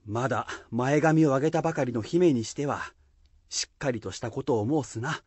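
A man's voice: medium pitch, moderate pace, a little mellow, breathy edge, no room sound, natural voice. A man playing a lordly samurai warlord in a period radio drama, with weighty archaic speech. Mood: commanding. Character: lordly middle-aged samurai warlord, musing and commanding.

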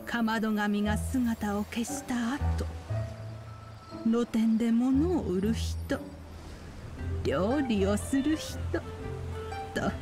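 A woman's voice: a little high, slow pace, pauses often, music in the background. A woman playing a wise, kindly elderly sage, telling legends in archaic, solemn speech for a Japanese video game. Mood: solemn storytelling.

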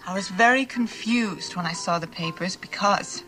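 A woman's voice: lowish voice, normal speed, bright, forceful tone, soft background music. A woman playing a coy, flirtatious shopkeeper with a scheming streak in a mystery film, giggly and hesitant. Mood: coyly threatening. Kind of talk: television film mystery acting.

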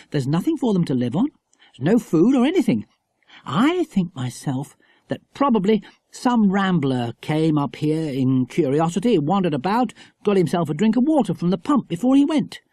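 A man plays a sensible English schoolboy leading his friends in a storybook. The voice is raised and lively, brisk and emphatic, with a husky edge. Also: high voice, no room sound, voice pushed up, full character voice. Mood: brisk, reasoning. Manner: emphatic, reasoning aloud.

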